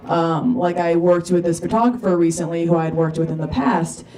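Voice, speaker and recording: A young woman from Oregon performing a stand-up comedy set in a dry, self-deprecating way, often pausing on um and uh. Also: on the low side, fairly even intonation, warm tone. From Oregon, USA. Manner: dry, self-deprecating, with many ums and uhs. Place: comedy club stage. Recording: distant live mic with audience laughter.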